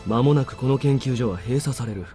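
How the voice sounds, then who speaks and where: An actor playing a blunt, unsentimental army major in a visual novel, wryly dismissive. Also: male voice, mid-range voice, racing, warm tone, close to an everyday voice. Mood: cool, firm. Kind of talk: Japanese visual novel voice acting. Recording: game music under the voice.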